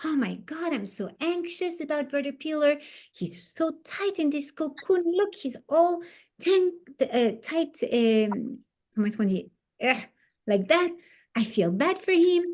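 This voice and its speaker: A woman voicing an excitable first-grade boy who loves insects, talking in a breathless, gushing rush. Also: sits high, normal speed, voice pushed up. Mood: anxious.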